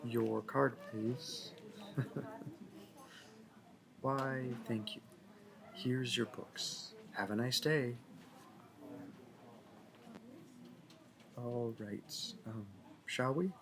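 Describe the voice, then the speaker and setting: A man's voice: mid-range voice, fairly even intonation, very slow, vocal fry, long pauses, a crowd in the background. A man playing a shy, smitten young library worker in a roleplay audio, nervous and awkward, stammering and correcting himself. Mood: eager, awkward. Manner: stammering, hesitant, self-correcting. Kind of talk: intimate roleplay audio acting.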